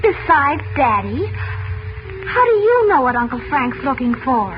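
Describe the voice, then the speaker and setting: A woman's voice: high voice, big pitch swings, measured pace, over music. A woman playing a naive, anxious American teenage girl in a 1940s radio drama, earnest, hesitant and easily flustered. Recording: muffled, noisy vintage radio recording.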